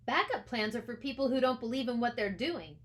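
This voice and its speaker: A woman's voice: fairly high pitch, expressive, fairly quick, pitched above the performer's own voice, natural voice. Earnest young boy for a storybook, read by a woman: defiant, stubborn, challenging delivery. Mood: defiant, annoyed. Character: defiant, earnest school boy, aspiring horror writer.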